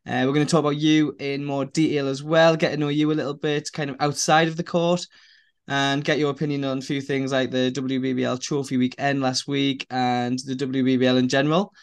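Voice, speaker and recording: A man's voice: mid-range voice, flat delivery, fairly quick, clear, resonant tone. A man hosting an interview podcast over a video call, asking questions with frequent restarts. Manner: stumbling restarts, asks questions. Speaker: podcast host. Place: small room indoors.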